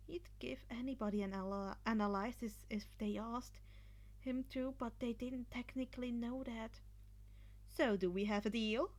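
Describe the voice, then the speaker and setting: A woman's voice: on the high side, darkish tone. A woman reading fan fiction aloud as an amateur narrator of a scripted story.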